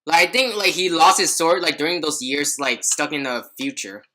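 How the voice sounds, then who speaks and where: Bright-voiced man chatting on a podcast. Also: on the high side, normal speed. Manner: rambling, leans heavily on "like". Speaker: podcast host. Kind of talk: casual chat.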